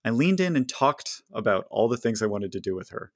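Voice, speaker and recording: A man's voice: fairly low pitch, big pitch swings, brisk, dry recording. A man co-hosting a podcast, reading listener questions aloud and joking dryly. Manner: dry, wry, reads listener letters aloud. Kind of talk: casual podcast chat.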